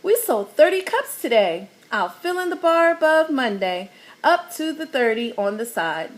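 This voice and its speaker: A woman's voice: high voice, very animated, normal speed. A woman voicing an organized girl who keeps the sales chart, announcing results eagerly.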